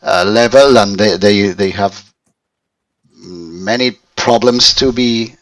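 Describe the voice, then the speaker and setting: A Spanish-accented man in his fifties works through his English sentences slowly, restarting often and filling gaps with "uh". He sits back from the microphone on a call, so his voice sounds distant. The sound is dry, with little room to it. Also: fairly low pitch, fairly bright tone, no echo. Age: 50s. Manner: hesitant, many restarts and fillers. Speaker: language student. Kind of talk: conversation practice over a call.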